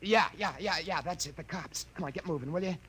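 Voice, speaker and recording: A man's voice: sits high, brisk, fairly bright tone, breathy edge. An actor playing a harried, hapless married repairman with an American accent in a 1950s radio drama. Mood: frantic. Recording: noisy vintage 1950s radio recording.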